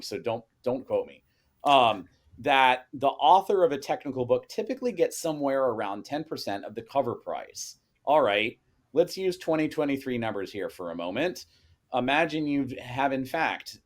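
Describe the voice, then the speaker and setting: A man, a co-author on a podcast, chatting casually and working out numbers aloud as he goes. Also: medium pitch. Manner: rambling, works sums out loud.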